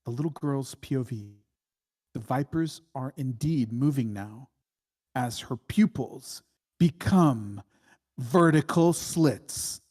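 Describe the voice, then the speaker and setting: A man narrating a screenplay's stage directions at a table read, with deliberate, dramatic phrasing. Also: mid-range voice, a little mellow.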